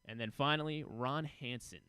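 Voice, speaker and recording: A man's voice: medium pitch, moderate pace, sharp tone, clean tone, dry recording. An American man co-hosting a casual sports talk show, presenting trivia and statistics. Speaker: radio co-host presenting trivia. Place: radio studio.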